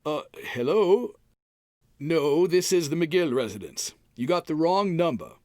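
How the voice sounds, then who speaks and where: A man's voice: a little high, animated, a little slow, darkish tone, no echo, studio quality. An American man playing a bumbling, cheerful packrat husband in old-time radio comedy style, folksy and hemming as he makes excuses. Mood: folksy, chatty.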